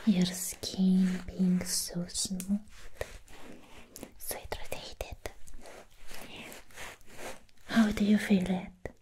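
A woman acting a sweet, friendly vampire girl in an ASMR roleplay. She whispers in a soft, mellow, breathy tone, very slowly and with long pauses. Faint noise and small clicks sit behind the voice. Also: lowish voice. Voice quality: breathy, mostly unvoiced whisper. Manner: gentle, playful, soothing, with long pauses.

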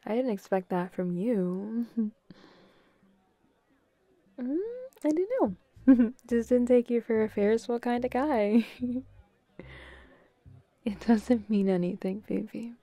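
A bubbly young girlfriend played by a woman in an intimate roleplay audio, teasing and affectionate. Her voice is fairly high yet soft and mellow, recorded close and dry, and she talks in an unhurried, giggly, breathy way. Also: no room sound. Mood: tender teasing.

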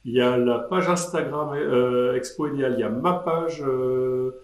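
A man's voice: medium pitch, normal speed. A man in his sixties, an artist answering questions in a live online session, hesitant and rambling with many restarts. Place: indoor room at a desk. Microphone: webcam on a desk. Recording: roomy webcam audio.

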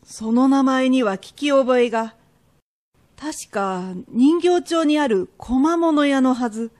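High-voiced, proud Edo merchant's wife acted by a woman. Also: takes it slowly, darkish tone. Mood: pondering. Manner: firm, old-fashioned matronly speech. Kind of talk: period radio drama acting.

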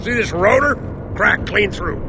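A man playing a folksy, good-natured soldier-mechanic in a video game, with a gravelly Southern US drawl. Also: high voice, animated, unhurried, noisy background, heavily acted. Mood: folksy, appraising. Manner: folksy drawl, fond of machines.

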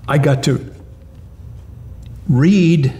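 An older man, a retired mathematics professor, answering interview questions in an animated way, often restarting and repeating words. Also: fairly high pitch, drawn-out words. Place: lounge-like room with armchairs and lamps, indoors.

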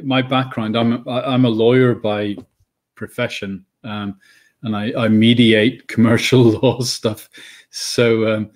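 Hesitant middle-aged Northern Irish man. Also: fairly low pitch. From Northern Ireland. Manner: hesitant, many ums and restarts. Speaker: guest, children's book author. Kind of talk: casual interview chat. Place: home room. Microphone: video call.

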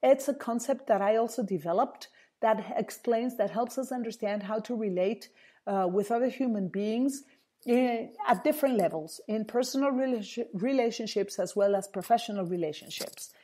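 A woman hosting a solo radio talk show, hoarse and husky, earnestly explaining and repeating key points for listeners. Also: fairly high pitch, normal speed, mellow. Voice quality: husky, strained voice.

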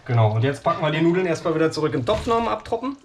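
A man's voice: on the high side, big pitch swings, rapid-fire. Chatty man cooking in a noisy kitchen. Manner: chatty, many fillers, talks while cooking. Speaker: cooking show host. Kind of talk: casual cooking demonstration. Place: small home kitchen. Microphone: camera mic across the room.

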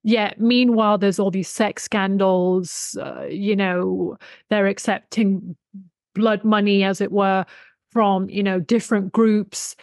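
A woman's voice: mid-range voice, fairly even intonation, takes it slowly, a little mellow, no room sound. Emphatic woman, close dry mic. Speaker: interview guest, author and expert on folk spirituality. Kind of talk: conversational interview explanation. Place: small indoor room with bookshelves, video call. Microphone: desktop USB condenser microphone, close.

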